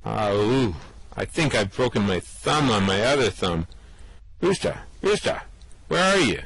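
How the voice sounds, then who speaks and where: A man playing a cocky laid-back space adventurer, deep voice, slangy slow drawl. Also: very animated, creaky, fairly bright tone, slightly rough, dry recording, exaggerated voice. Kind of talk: amateur radio-play reading.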